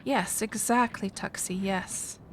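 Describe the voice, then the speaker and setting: A woman's voice: medium pitch, fairly even intonation, takes it slowly, a little hiss or hum, rough recording. Chatty woman streaming a game. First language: Finnish. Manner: chatty, thinks aloud with many fillers and restarts. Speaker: game livestreamer. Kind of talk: casual livestream chat, answering viewers.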